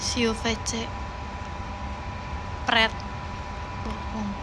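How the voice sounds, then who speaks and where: A woman's voice: a little high, little pitch movement, drawn-out words, noisy background. A woman of nineteen, chatting casually and playfully on a livestream, often repeating words as she answers viewers. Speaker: idol livestream host.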